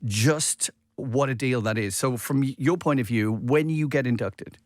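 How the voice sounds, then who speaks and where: Casting: male radio host, medium pitch, chatty and enthusiastic interviewing, very dry recording. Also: a little slow, darkish tone, no echo. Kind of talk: casual conversational interviewing.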